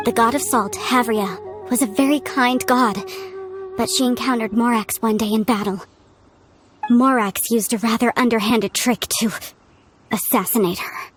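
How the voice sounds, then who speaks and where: An actress as an earnest, mannerly academic in a video game. Her fairly high voice is careful and formal, with music clearly behind it. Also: moderate pace, natural voice. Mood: earnest, eager. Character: polite, earnest woman scholar of archaeology. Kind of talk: scripted video game dialogue. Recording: music under the voice.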